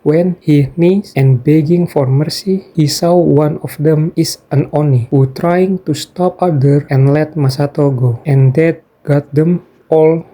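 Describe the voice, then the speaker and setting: A man's voice: a little high, fairly even intonation, unhurried, hardly any pauses, mellow, rough recording. A man narrating a recap of a game's story as a gaming video narrator, with non-native English phrasing.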